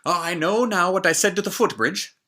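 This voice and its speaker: A man's voice: high-pitched, fairly quick, bright, forceful tone, pitched above the performer's own voice. A man voicing a haughty, short-tempered false bride in a storybook reading, with an indignant, imperious manner. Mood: angry, demanding.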